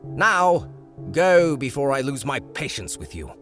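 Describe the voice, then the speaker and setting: A man's voice: medium pitch, very animated, a bit bright, soft background music, voice pushed up. A man voicing a stern, impatient enchanter teacher in an audiobook. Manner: slow, lecturing.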